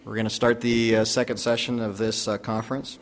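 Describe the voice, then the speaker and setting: Halting man introducing a session. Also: fairly low pitch, level tone. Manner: halting, frequent uhs. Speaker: session moderator.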